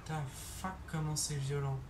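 A young man chatting casually over his gameplay on a headset mic, excitable and throwing in English exclamations. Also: a little high, moderate pace, noisy background. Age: young adult. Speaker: gaming YouTuber. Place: bedroom. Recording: roomy sound with game music behind.

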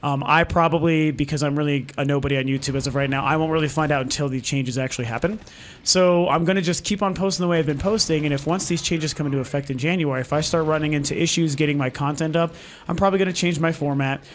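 A man's voice: on the high side, fast talker, slight background noise. A man from Colorado who is losing his voice, talking casually at home to his channel's viewers with many ums and restarts. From Denver, Colorado, US. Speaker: gaming channel host. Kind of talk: casual talk to camera audience over gameplay footage.

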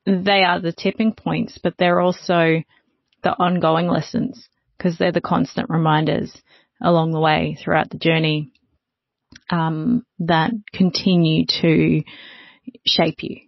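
A woman's voice: deep voice, flat delivery, a little slow, creaky, no echo. A woman hosting a panel conversation, summarizing and affirming what others say before asking the next question. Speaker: panel host. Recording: dry, dull lo-fi audio.

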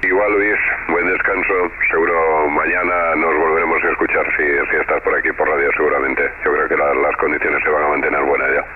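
A deep-voiced man with a Spain Spanish accent talks casually on ham radio. The sound is a dull radio signal with clear static behind it. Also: flat delivery, fairly quick, few pauses. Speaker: amateur radio operator.

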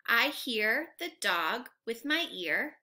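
A young woman reading aloud to small children like a teacher, asking simple questions in an animated, carefully enunciated way. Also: fairly high pitch, slow. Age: young adult. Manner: animated, exaggerated, enunciated for little learners. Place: living room at home, indoors.

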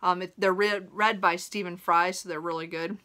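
A woman's voice: fairly low pitch, steady tone, moderate pace, sharp tone. A woman in her thirties talking casually to camera as a book vlogger, in a rambling way that often interrupts itself. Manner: rambling, self-interrupting, chuckles while talking. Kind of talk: casual chat to camera about a reading list. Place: small room at home, indoors.

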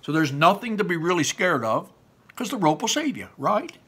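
A man's voice: high-pitched, very animated, normal speed, husky, pitched above the performer's own voice, full character voice. A man reading a story aloud, voicing a boisterous older brother who cheers his sibling on. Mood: reassuring. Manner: loud, upbeat, pep-talking.

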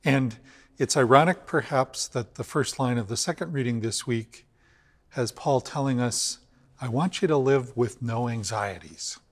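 Older man, priest, slow earnest reflection, long church reverb, empty nave, mid-distance camera. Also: medium pitch. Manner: measured, earnest, slows for emphasis. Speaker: cathedral rector. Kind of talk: weekly video reflection on scripture. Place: inside a large empty church.

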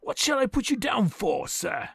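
An actor playing an earnest gentleman collecting for charity, polite and hesitant. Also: high-pitched, sing-song, normal speed, vocal fry, breathy edge, dry recording, clean studio sound, heavily acted. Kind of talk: audio drama acting.

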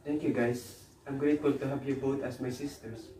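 A man's voice: mid-range voice, little pitch movement, a little fast, mellow, slight background noise, lightly acted. Young man playing dutiful son, echoey room. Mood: downhearted. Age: young adult. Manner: apologetic, earnest. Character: dutiful, downhearted son in his twenties. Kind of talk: amateur one-act melodrama. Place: small living room, indoors. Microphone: far camera. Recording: echoey living room, distant mic, music.

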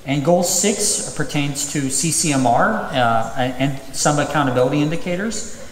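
A man's voice: fairly high pitch, normal speed, slight background noise. A man in his thirties presenting at a school district public hearing from a podium, reading through items with frequent ums and uhs. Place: large empty auditorium. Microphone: podium microphone. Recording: echoey auditorium, distant reverb.

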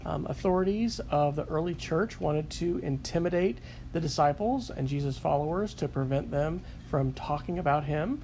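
A man reading a scripture passage and then calmly summarizing a sermon he heard, with frequent fillers. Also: fairly high pitch, moderate pace, slight background noise. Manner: calm, reflective, with frequent fillers. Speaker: sketchnote narrator.

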